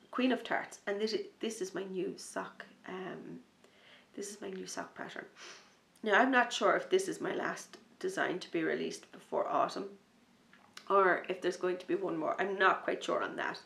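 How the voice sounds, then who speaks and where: A woman hosting a knitting podcast, chatting in a rambling, enthusiastic way, a little sniffly from a starting cold, sometimes dropping to a soft near-whisper. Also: lowish voice, vocal fry, reverberant. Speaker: knitting podcast host and designer. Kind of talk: casual chat to camera.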